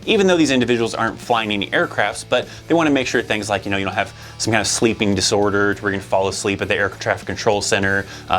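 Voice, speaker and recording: A man with a fairly low voice talks very quickly as he hosts an informational explainer. His delivery is conversational and addressed straight to viewers, with faint music underneath. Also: a bit bright. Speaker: video host.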